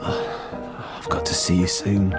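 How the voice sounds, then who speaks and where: A man playing a gentle, hesitant, lovesick lover in a radio drama. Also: takes it slowly, over loud music, lightly acted. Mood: anguished longing. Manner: hesitant, ardent. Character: smitten, tormented lover, gentle and yearning.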